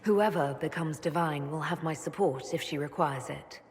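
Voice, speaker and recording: An actress playing a calm elf mage leader in a video game, direct and composed. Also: female voice, low-pitched, moderate pace, husky, full character voice. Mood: calm, supportive. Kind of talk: dramatic video game dialogue. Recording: slight background noise and ambience.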